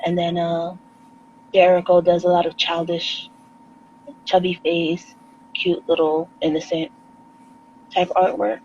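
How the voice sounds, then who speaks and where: Gravelly New York woman on a lo-fi call. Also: lowish voice, a little hiss or hum. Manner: relaxed, laughs often, rapid asides. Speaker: guest artist. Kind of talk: casual chat. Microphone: video call.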